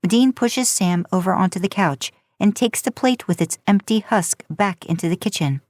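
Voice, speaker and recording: A woman's voice: mid-range voice, expressive, moderate pace, a little mellow, no echo. A woman reading a story aloud in an informal, conversational audiobook style. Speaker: podcast host narrating fan fiction.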